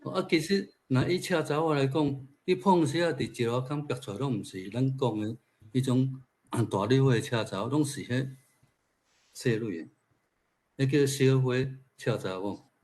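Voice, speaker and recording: A man's voice: medium pitch, normal speed, darkish tone. A man with a Taiwanese accent giving an informal talk at a forum, sharing observations conversationally and posing rhetorical questions. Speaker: speaker at a literature forum.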